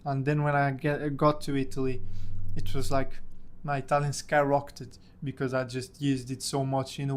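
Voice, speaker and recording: A young man from Portugal, a native Portuguese speaker, casually telling a personal story about himself in English. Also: mid-range voice. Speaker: language-learning content creator.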